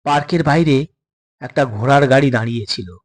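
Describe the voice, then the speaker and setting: A man's voice: medium pitch, fairly quick. A man reading a scripted suspense story as its narrator.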